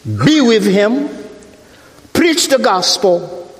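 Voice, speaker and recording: Slow French-accented man preaching in echoey hall. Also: big pitch swings. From Africa. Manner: deliberate, repeats phrases, rises in pitch when preaching. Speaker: guest preacher and missionary. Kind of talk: sermon. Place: church sanctuary.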